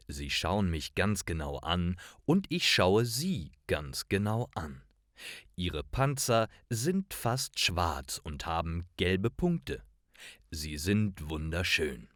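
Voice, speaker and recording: Male narrator for learner stories: low-pitched, very animated intonation, careful scripted reading. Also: dry recording, studio quality. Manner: careful, deliberate phrasing. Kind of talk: slow scripted story narration for language learners.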